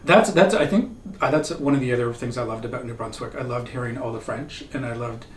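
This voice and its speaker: A man's voice: medium pitch, quick pace. Laughing middle-aged man telling a story. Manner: chatty storyteller, laughs often. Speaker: co-host. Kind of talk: casual chat. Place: wood-panelled cabin room, seated at table. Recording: roomy, distant, faint hiss.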